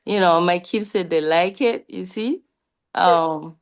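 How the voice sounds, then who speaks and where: A woman's voice: lowish voice, takes it slowly, clear, resonant tone. A middle-aged woman from Burkina Faso chatting on a video call, laughing and teasing herself.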